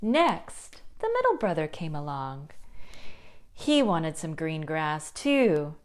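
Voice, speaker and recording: A woman telling a children's puppet-show story as narrator, with expressive, deliberate phrasing. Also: low-pitched.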